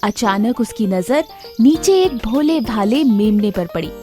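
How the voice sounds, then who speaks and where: A woman storyteller reading scripted children's moral tales in an expressive way. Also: a little high, a little slow, soft background music.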